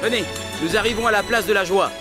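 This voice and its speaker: A man playing an earnest, encouraging guide who explains and proclaims things in a French animated series dub. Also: high-pitched, fast talker, over music, lightly acted. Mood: proudly presenting. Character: earnest male mountain guide, encouraging and informative.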